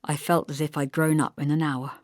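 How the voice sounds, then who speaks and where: A woman's voice: low voice, little pitch movement, a little fast, no echo, studio quality. A woman reading scripted first-person literary narration as an audiobook narrator.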